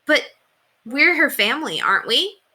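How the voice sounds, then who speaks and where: A woman's voice: sits high, very animated, normal speed, bright, forceful tone, voice pushed up, exaggerated voice. A woman reading a children's storybook, voicing an earnest, pleading ten-year-old boy with emphatic, childlike delivery. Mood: anxious, pleading. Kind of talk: children's storybook read-aloud.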